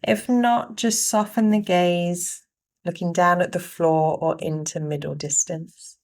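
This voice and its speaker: A woman leading a guided meditation, giving gentle instructions in short phrases with pauses between them. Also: on the low side, fairly even intonation.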